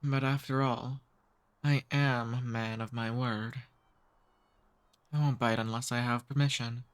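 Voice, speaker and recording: Casting: male actor for an ancient noble vampire, mid-pitched male voice, charming and softly dominant, intimate ASMR roleplay. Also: fairly even intonation, clear, resonant tone, close to an everyday voice. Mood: seductive, teasing. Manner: courtly, slow seductive teasing.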